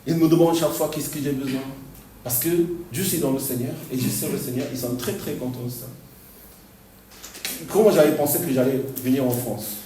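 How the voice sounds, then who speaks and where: Pastor preaching in an echoey hall. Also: male voice, mid-range voice, warm tone, a little hiss or hum. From Argentina. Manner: halting, repeats words, laughs often. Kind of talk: sermon. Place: church hall. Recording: echoey hall, distant mic.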